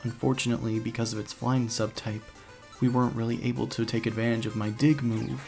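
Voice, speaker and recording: Gravelly, even-toned male narrator over music. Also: medium pitch, fairly quick. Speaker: narrator and let's player, speaking in character as hero. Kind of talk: scripted story narration. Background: background music.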